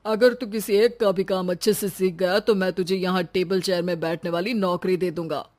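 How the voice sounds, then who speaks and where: A woman voicing a curious, benevolent male bank manager in a story, measured and authoritative. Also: lowish voice, fairly even intonation, darkish tone, lightly acted.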